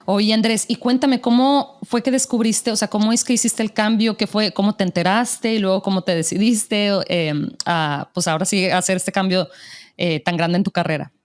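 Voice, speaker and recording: Woman, podcast host, friendly, encouraging, middle pitch. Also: steady tone, moderate pace. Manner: friendly, encouraging, frequent backchannel replies. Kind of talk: podcast interview.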